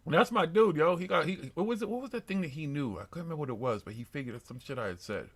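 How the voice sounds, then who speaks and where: Man, 50, live-show co-host, rapid rambling profane banter. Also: on the high side, expressive, husky. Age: 50s. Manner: loose, profane, rambling storytelling. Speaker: podcast co-host. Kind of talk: casual live-stream chat reading comments.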